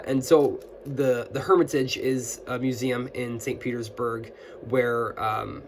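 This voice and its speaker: A young man who is getting over an illness and still sounds scratchy, chatting casually about his new books as a book video host, with a lot of fillers and restarts. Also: medium pitch. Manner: friendly, with many fillers and restarts. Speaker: booktuber. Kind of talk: casual book haul chat.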